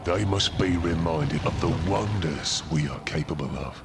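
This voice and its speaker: A man voicing a huge ancient stone being in a video game, gravelly, weighty and solemn. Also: measured pace, music in the background. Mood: solemn, wistful. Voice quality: gravelly, booming. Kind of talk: video game cutscene acting. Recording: loud rumbling ambience behind voice.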